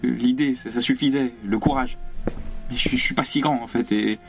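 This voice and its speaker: A man acts out an earnest young revolutionary in a French radio drama. His medium-pitched voice halts and repeats itself with anguished, impassioned fervour. Faint music sits behind him. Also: creaky, close to an everyday voice. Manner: halting, repeating words, impassioned. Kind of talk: French radio theatre acting.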